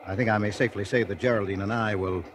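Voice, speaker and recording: A man's voice: fairly low pitch, fairly even intonation, fast talker, lightly acted. An actor playing a meek, nervous man, hesitant and easily flustered, in a 1940s radio drama. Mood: indignant. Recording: 1940s radio broadcast with music.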